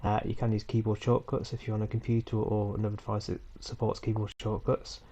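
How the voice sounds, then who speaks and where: A man with a stuffy head cold talks in a low, gravelly voice with a mellow, dark tone. He explains things in a loose, unhurried way, full of ums and restarts. The sound is a dull, lo-fi computer-mic recording made at home. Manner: relaxed, rambling, with frequent ums and small self-corrections. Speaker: tutorial host. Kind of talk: casual screen-recorded tutorial. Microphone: computer mic for a screen recording.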